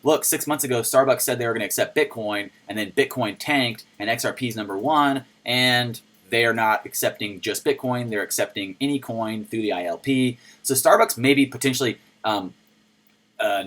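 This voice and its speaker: A man with a low voice hosting a casual crypto news video, reading and commenting on articles in a chatty, playful way. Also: brisk. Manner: chatty and playful, with sudden shouted jokes.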